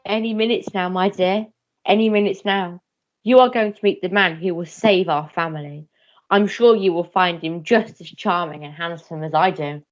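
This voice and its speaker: A woman's voice: lowish voice, moderate pace, faint music behind, close to an everyday voice. Woman playing a doting old English father, muffled. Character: doting, naive upper-class English father, older man. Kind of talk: amateur melodrama radio play acting. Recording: slightly dull lo-fi recording.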